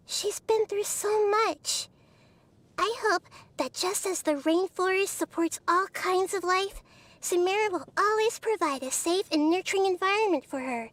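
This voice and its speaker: A woman voicing a gentle, wise childlike goddess in a video game, speaking tenderly and earnestly. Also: extremely high pitch, no room sound. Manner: tender, earnest and soft-spoken. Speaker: game character. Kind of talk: game character voice lines.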